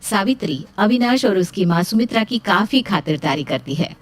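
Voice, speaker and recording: A woman's voice: mid-range voice, lively intonation, moderate pace. A woman narrating a scripted story as a storyteller.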